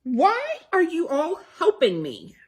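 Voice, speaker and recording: A woman voicing an excitable, stubborn little bunny girl in a children's storybook, speaking in emphatic declarations. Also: extremely high pitch, takes it slowly, pitched above the performer's own voice, exaggerated voice. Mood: indignant. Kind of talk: children's storybook read-aloud.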